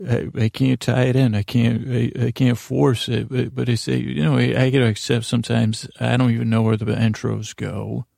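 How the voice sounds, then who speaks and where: A man hosting a bedtime podcast in a gravelly voice, with a meandering, halting monologue that keeps interrupting itself and wandering off. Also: medium pitch, flat delivery, dark tone, dry recording, clean studio sound. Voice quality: gravelly, creaky. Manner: meandering, halting, self-interrupting, trails off on tangents. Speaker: podcast host.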